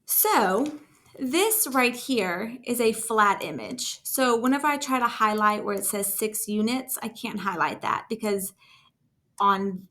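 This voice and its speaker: A woman talking through a casual screen-share software tutorial as a trainer, in an informal, enthusiastic and encouraging way. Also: medium pitch. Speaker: school district technology trainer.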